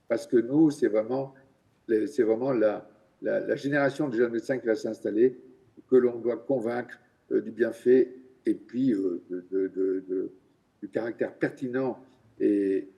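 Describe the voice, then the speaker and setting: A man's voice: fairly low pitch, creaky, mellow, husky, poor audio. A middle-aged male doctor giving a conference presentation, hesitant, often repeating words as he searches for them. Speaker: physician giving a conference talk.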